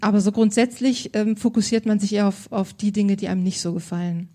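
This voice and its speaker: A woman's voice: mid-range voice, little pitch movement, quick pace, warm tone. A woman working as a style consultant, answering interview questions in an enthusiastic, colloquial way.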